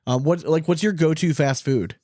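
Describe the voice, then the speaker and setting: A man's voice: a little high, moderate pace, darkish tone, no echo. A man co-hosting a podcast in casual, joking chat, talking informally and swearing freely. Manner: casual, profane. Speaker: podcast co-host.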